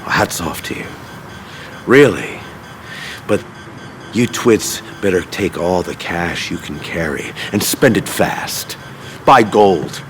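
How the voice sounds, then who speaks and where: An actor playing a smug, menacing crime boss in a video game, taunting with theatrical, drawn-out threats. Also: male voice, sits low, expressive, fairly bright tone, a little hiss or hum, exaggerated voice. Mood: threatening. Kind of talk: video game cutscene acting.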